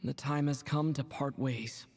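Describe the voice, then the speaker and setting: An actor voicing a calm, kindly young traveler in a video game, relaxed and easygoing in delivery. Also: medium pitch, a little slow, big-room sound, a little hiss or hum, close to an everyday voice. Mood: calm, friendly.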